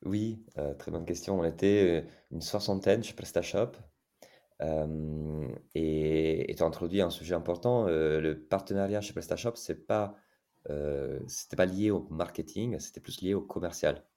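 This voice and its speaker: A man from Italy speaks French with an Italian accent, his voice very deep and dark in tone. He talks as a podcast guest, pausing often on fillers and restarting his sentences. He sounds like a man in his thirties. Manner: hesitant, many fillers and restarts. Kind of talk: casual interview talk.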